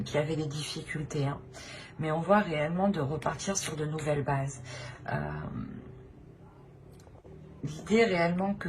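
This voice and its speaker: Lowish voiced lady doing a tarot reading, kinda halting and repetitive, pretty noisy background. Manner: hesitant, repeats phrases, often ends on "hein". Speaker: tarot reader hosting her channel. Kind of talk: unscripted tarot reading, addressing viewers. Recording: noticeable background noise.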